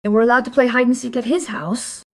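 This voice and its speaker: A woman's voice: fairly high pitch, steady tone, fast talker. A woman playing a frank, cheeky young schoolgirl in an audio drama, speaking bluntly.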